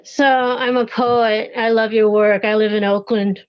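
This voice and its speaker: Woman, fairly high voice, poet, halting, dry close sound, dull audio. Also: fairly even intonation, no room sound. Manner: halting, searching for words. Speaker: poet, audience questioner. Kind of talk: asking a question.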